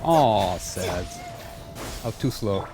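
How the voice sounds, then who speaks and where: A man live-streams a game with a lively, animated delivery. He chats playfully and repeats himself while thinking aloud, with faint music behind him. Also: low-pitched, very slow. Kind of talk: casual live gameplay chat.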